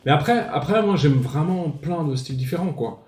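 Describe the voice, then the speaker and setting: A man's voice: on the high side, animated, moderate pace, darkish tone, echoey room. A man in his forties from Paris, a musician guest talking casually with many hesitations and restarts. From Paris, France. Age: 40s. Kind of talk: casual interview chat.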